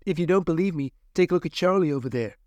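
A man's voice: fairly high pitch, lively intonation, quick pace, darkish tone, no echo, lo-fi sound, voice pushed up, natural voice. A man voicing a gaunt caged prisoner who speaks bluntly and scornfully, in a storybook reading.

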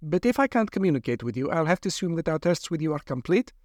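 A man voicing a brisk, coolly polite female doctor who experiments on captives, in a storybook reading. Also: on the high side, very animated, a little mellow, dry recording, studio quality, pitched above the performer's own voice. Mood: cold. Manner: polite, clipped, coolly insistent.

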